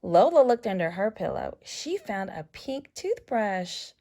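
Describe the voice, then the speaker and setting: Slow, expressive woman reading a storybook. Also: medium pitch. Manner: expressive, deliberate phrasing for young listeners. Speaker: storybook reader. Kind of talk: children's read-aloud, scripted narration.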